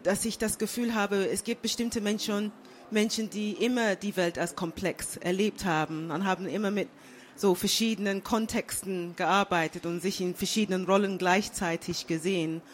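A woman born and raised in London, speaking as a guest on a panel, thoughtful and pausing often with fillers. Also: medium pitch, steady tone, slight background noise. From England. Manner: thoughtful, many fillers and restarts. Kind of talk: panel discussion.

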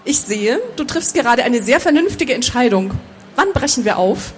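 A woman voicing a calm, caring man in a storybook, quietly reasoning and insistent. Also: on the high side, moderate pace, slight background noise, natural voice. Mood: wry teasing.

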